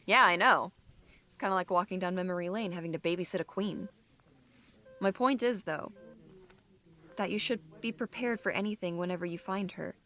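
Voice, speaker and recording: An actress plays a steady female police captain in an audio drama. Her voice sits fairly low, and she speaks frankly with a dry, supportive manner. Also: normal speed, pauses often, soft background music. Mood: wry, cautioning. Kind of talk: audio drama acting, naturalistic dialogue.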